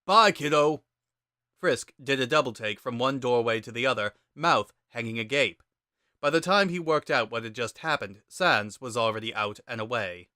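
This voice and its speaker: A man giving a measured, dramatic narration of a scripted story. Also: mid-range voice, bright, forceful tone, no echo, clean studio sound.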